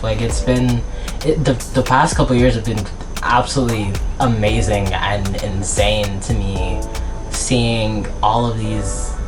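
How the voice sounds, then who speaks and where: Young man, from the US, casual vlog, rambling, lots of fillers, middle pitch, clear music underneath. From United States. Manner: chatty and rambling, full of fillers and restarts. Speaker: author and vlogger.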